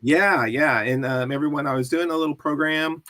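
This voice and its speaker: A man's voice: on the high side, normal speed, pushed and bright, no echo. A man hosting an interview on a video call, upbeat and chatty, with frequent laughs and fillers. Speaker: podcast host. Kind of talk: conversational interview.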